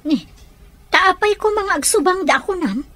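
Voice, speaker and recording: A woman's voice: extremely high pitch, lively intonation, normal speed, a bit bright, dry recording. A woman playing a nosy shopkeeper auntie in a radio comedy drama. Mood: prying.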